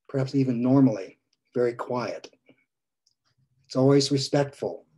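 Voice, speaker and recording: A priest in his sixties preaching a gentle sermon from a home study over a video call, his phrases trailing off softly. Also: mid-range voice, slightly rough. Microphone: video call webcam.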